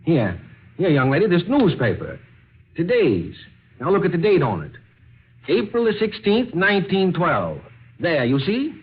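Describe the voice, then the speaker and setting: A man's voice: high voice, wildly swooping pitch, a little slow, full character voice. An actor playing a courteous, fussy elderly businessman with formal, hesitant, old-fashioned speech in a 1940s radio drama. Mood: insistent, baffled. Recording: muffled, noisy old radio recording.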